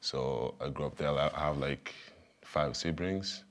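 A young man from Tanzania with a Tanzanian accent, a basketball player answering interview questions in a soft, halting way. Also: extremely low pitch. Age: young adult. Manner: soft-spoken, halting, simple phrasing with fillers. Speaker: college basketball player guest.

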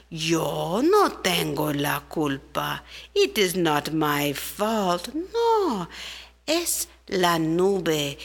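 The rain in a children's tale, speaking slowly and evenly in a lowered, unhurried voice, as a woman puts it on. Each word is drawn out and calm. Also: vocal fry, full character voice. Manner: slow, measured, drawn out. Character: the rain, slow and calm, shifting blame.

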